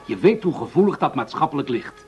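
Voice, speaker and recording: An actor playing a pragmatic, patronizing senior police commissioner in a radio drama, dismissive and mildly impatient. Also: male voice, fairly high pitch, very animated, a little fast, a little mellow, faint music behind. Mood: skeptical, dismissive. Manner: dismissive, patronizing, hesitating with "nou". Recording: slightly dull, lo-fi radio recording.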